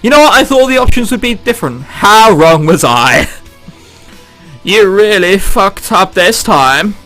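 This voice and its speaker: A man's voice: high-pitched, lively intonation, a little slow, pushed and bright, faint music behind. A man who is a let's player reading a visual novel aloud dramatically, with exclamatory, sweary joking commentary.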